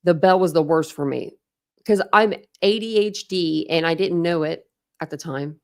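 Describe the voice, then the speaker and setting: A woman's voice: lowish voice, moderate pace, darkish tone. A woman with a Midwestern accent, chatting casually with her viewers as a livestream host, rambling and saying "like" a lot. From Ohio. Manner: rambling, heavy use of "like", restarts.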